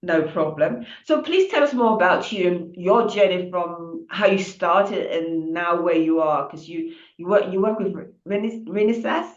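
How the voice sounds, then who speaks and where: A woman hosting an interview over a video call, rambling warmly through restarts and fillers. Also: fairly low pitch, a little slow, few pauses. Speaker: interview host. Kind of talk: casual interview chat. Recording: dull, roomy sound.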